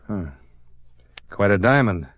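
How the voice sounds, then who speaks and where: A man's voice: lowish voice, expressive, takes it slowly, no room sound, highs cut off. An American man playing a tough, blunt insurance investigator in a Golden Age radio drama, with clipped, commanding, hard-boiled delivery. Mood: brisk, businesslike. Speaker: radio drama lead and narrator. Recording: old radio drama recording, dry studio.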